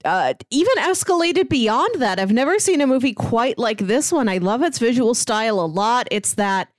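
A woman's voice: medium pitch, no echo, clean studio sound. A woman co-hosting a podcast, talking casually as she reviews movies. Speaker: podcast co-host.

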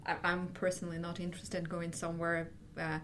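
A woman from Ukraine, a senior tech recruiter, talking casually in an interview and pausing on fillers. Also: on the low side, monotone, a bit bright, a little hiss or hum. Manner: hesitant, many fillers, laughs often.

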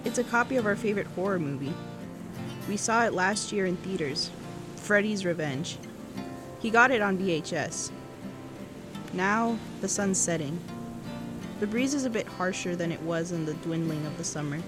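A woman's voice: lowish voice, lively intonation, normal speed, pauses often, lightly acted. A woman playing a wistful, awkward seventeen-year-old girl who muses hesitantly into an audio diary in a fiction podcast. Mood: casual, reflective. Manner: reflective, hesitant, self-correcting teenage musing. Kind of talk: intimate first-person cassette diary narration. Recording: music under the voice. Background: guitar music.

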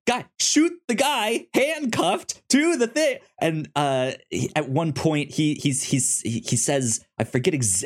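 Medium-pitched voice, casual film review chat, stammering restarts, excitable podcast co-host. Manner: halting, repetitive stammering delivery with many false starts.

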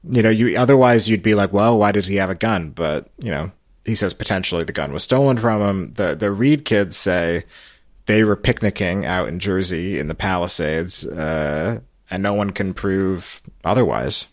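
A man with a low voice hosts a recap podcast. He talks through the story conversationally, recorded close and dry. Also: moderate pace, dry recording. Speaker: podcast host. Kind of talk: conversational recap of a radio show.

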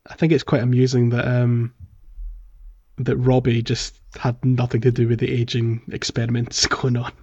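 A man co-hosting a podcast, chatting casually about a video game in a hesitant, halting way. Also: medium pitch, completely flat delivery, a little mellow, highs cut off. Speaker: podcast co-host.

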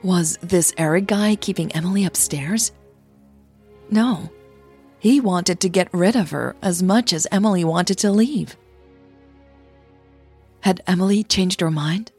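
Slow-paced, husky woman storyteller. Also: fairly low pitch, darkish tone, faint music behind. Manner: playful, self-deprecating, informal. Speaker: podcaster and storyteller, host. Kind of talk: scripted story narration and casual chatty podcast hosting.

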